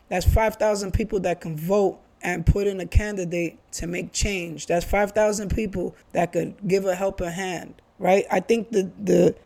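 An earnest man from the Bronx, somewhere in his thirties, talking through his views in a loose, conversational way. His voice sits high for a man yet sounds mellow and soft-edged. He restarts sentences often and repeats himself for emphasis. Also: creaky. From Bronx, New York. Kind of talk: casual chat.

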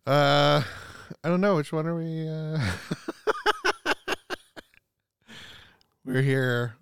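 A man's voice: fairly high pitch, steady tone, drawn-out words, clear, resonant tone, no echo, studio quality. A man co-hosting a casual podcast, playful and joking, laughing a lot. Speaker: podcast co-host.

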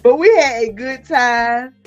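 A woman's voice: fairly high pitch, unhurried, lo-fi sound, soft background music. A woman in her fifties hosting a comedy podcast, chatting casually and telling stories in a blunt, playful, slangy way. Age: 50s.

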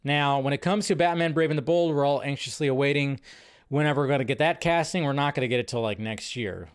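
A man's voice: medium pitch, fast talker, smooth. A man hosting a live entertainment news show in casual, rambling commentary, often repeating phrases as he thinks aloud.

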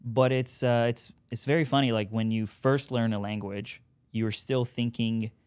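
A man's voice: mid-range voice, steady tone, moderate pace, darkish tone, clear, resonant tone, no room sound. A man from Iran whose first language is Farsi, a guest chatting in a friendly, easygoing way. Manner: friendly, easygoing, laughs along. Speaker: podcast guest, real estate agent. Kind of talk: casual interview chat.

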